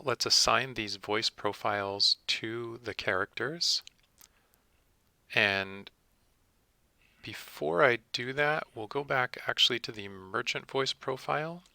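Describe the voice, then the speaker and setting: A man presenting a software tutorial, explaining each step methodically in a close-miked voice-over. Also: fairly low pitch, creaky, no echo. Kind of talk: step-by-step screen tutorial narration.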